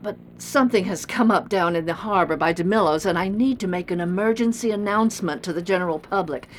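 An actress playing a courteous, grave city mayor in an audio drama, speaking in a measured, official way. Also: female voice, on the low side, slight background noise, close to an everyday voice. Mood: courteous, firm. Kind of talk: formal public announcement in radio drama.

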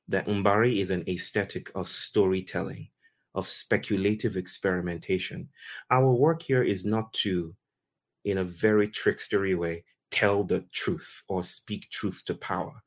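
Deep-voiced Nigerian-born man telling a story. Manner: slow, deliberate, poetic phrasing with pauses. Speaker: host. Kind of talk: reflective storytelling talk.

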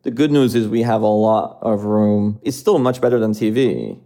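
Gravelly, mellow-voiced man. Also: lowish voice, animated. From Iran. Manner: measured, hedging with fillers. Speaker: streaming company executive. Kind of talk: interview answers.

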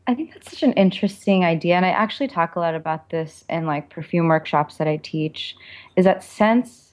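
A woman's voice: fairly low pitch, a little fast, a little mellow. A woman answering interview questions conversationally, explaining things in a reflective, informal way. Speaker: author and perfumer, interview guest.

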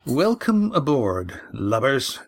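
A man voicing a sardonic, cruel ship's master who gives orders in a slow, sneering, commanding way. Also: mid-range voice, huge pitch swings, dry recording, exaggerated voice.

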